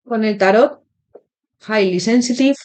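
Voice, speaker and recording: A woman with a Spain Spanish accent, hosting an interactive tarot reading and speaking directly to her viewers. Her throat is still sore from a cold, and she talks in a chatty way with many filler pauses. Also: fairly high pitch, darkish tone. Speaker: tarot reader, channel host.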